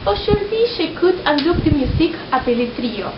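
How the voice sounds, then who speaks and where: High-voiced teenage girl in an echoey room. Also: animated, moderate pace. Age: teens, 17. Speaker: student of French. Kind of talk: prepared personal testimony in French as a learner. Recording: muffled, echoey, noisy amateur recording.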